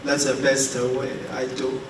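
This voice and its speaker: A man fields questions at a conference in plain, unscripted English. His pace is slow, and the sound is echoey, with clear noise behind him. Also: medium pitch, completely flat delivery. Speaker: conference speaker. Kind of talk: unscripted question-and-answer talk. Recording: echoey hall, distant mic, noisy.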